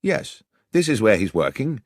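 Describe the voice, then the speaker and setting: A man's voice: medium pitch, big pitch swings, moderate pace, no room sound, clean studio sound, pitched above the performer's own voice. A man voicing a composed impostor doctor in an audiobook, matter-of-fact and assured. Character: composed impostor posing as a respectable male doctor. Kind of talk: audiobook character acting.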